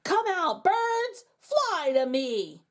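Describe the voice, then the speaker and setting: A woman's voice: very high-pitched, very animated, very slow, voice pushed up, full character voice. A woman voicing a clumsy, bumbling male stage magician in a showy, flustered manner.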